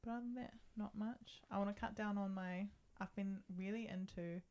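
A woman co-hosting a casual podcast chat, relaxed and giggly, with a soft, lower tone. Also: normal speed. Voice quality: lower, docile tone. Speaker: podcast co-host.

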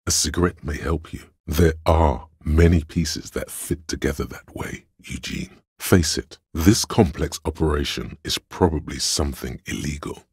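A man voicing a cool, analytical inner voice of reason in a video game, measured, deliberate and dryly sardonic, with a husky tone. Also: a little mellow, no room sound, studio quality, heavily acted. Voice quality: very deep, gravelly husky. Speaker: voice actor.

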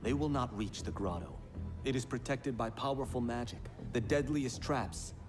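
A man's voice: mid-range voice, normal speed, over music, natural voice. A man playing a proud, confident young warrior monk in a video game, formal and emphatic. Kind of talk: video game cutscene acting.

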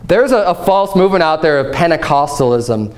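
Animated, fairly high-voiced man preaching. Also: brisk. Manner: animated, gesturing, conversational preaching. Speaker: pastor. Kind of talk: sermon. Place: church platform before a painted backdrop.

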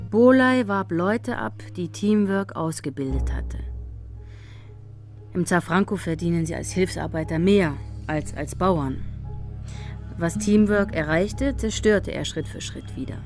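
An actress playing a sober, persistent female investigator in a German crime radio drama, with a deliberate, questioning manner. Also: fairly low pitch, lively intonation, a little mellow, soft background music. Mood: pondering.

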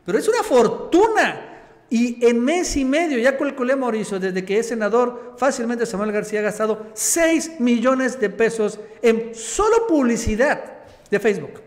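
A man's voice: extremely high pitch, animated, moderate pace, in a large hall. A Mexican man in his forties hosting an informal political talk show in a teasing, colloquial way. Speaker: host. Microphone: desktop microphone in front of him.

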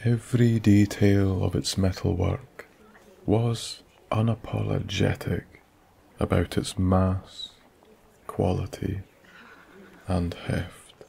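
A man with a Scottish accent narrating a soft-spoken bedtime story in a slow, hushed, soothing way that drifts into whispers. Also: low-pitched, flat delivery, gravelly, slightly rough.

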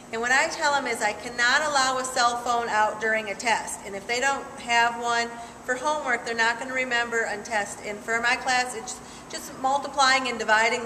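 A woman's voice: on the high side, pushed and bright, a little hiss or hum. A woman science teacher chatting practically about classroom rules at a meeting. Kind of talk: chiming in at a meeting. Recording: echoey hall.

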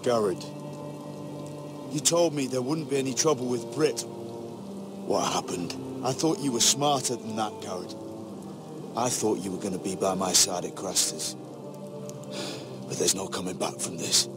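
A man's voice: fairly low pitch, lively intonation, a little slow, long pauses, music in the background. An actor playing a grave, disappointed young male ranger leader in a dramatic video game, serious and brooding.

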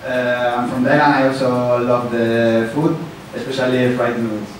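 A man's voice: mid-range voice, level tone, moderate pace. A young man from Spain, a student, introducing himself plainly with a few fillers. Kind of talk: self-introduction. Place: large conference room. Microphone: handheld mic, far camera. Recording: echoey hall heard from far off, noisy.

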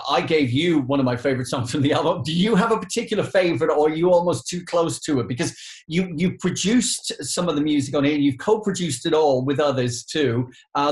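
A middle-aged man hosting a chatty interview from a living room, with wordy, roundabout questions. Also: a little high. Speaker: radio host, interviewer. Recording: echoey living room video call.

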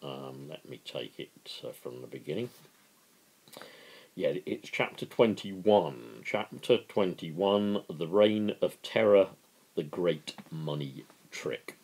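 Very deep, gravelly middle-aged man. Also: expressive, takes it slowly, darkish tone, slight background noise, rough recording. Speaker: amateur book reader. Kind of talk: informal introduction and dramatic reading. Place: small living room, indoors.

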